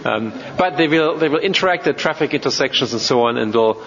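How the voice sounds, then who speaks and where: A man from Germany with a German accent gives an informal technical talk as a robotics researcher, speaking haltingly with many ums and repeated words. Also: mid-range voice, lively intonation, a bit bright. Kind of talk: informal technical lecture to an audience. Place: large reverberant hall, speaking to an audience. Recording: muffled, noisy, reverberant hall.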